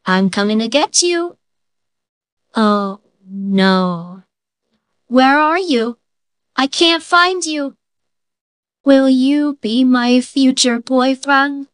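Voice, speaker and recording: Woman as a slow, lovesick cartoon fox. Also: on the high side, clean tone, no echo, studio quality. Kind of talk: cartoon game voice lines.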